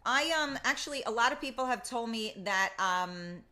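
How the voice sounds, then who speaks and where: Bright chatty woman podcast host. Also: on the high side, lively intonation, moderate pace. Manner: chatty, warmly complimentary, rambling. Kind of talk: casual interview chat.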